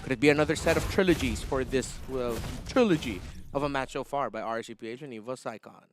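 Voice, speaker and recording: A man from the Philippines commentating live on an esports match, excitable and stretching words out for drama. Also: medium pitch, quick pace, noisy background. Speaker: esports shoutcaster.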